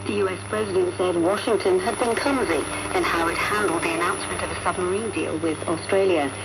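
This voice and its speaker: Heavy static surrounds a woman reading the news on shortwave radio. Her voice has a gravelly creak, and she delivers the script formally. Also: lowish voice, a little fast. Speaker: newsreader. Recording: noisy shortwave radio broadcast.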